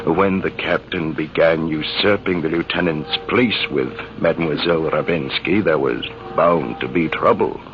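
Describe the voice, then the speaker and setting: A muffled vintage radio drama with heavy hiss. an army major with an extremely deep, creaky voice. He reminisces in a measured, theatrical style. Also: exaggerated voice. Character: older army major recalling a past rival, reflective, measured. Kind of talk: 1940s radio drama acting.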